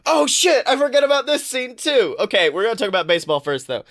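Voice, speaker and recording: A man co-hosting a podcast, chatting casually in an animated, rambling, profane storytelling manner. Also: very high-pitched, quick pace. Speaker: podcast co-host. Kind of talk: casual pop-culture chat.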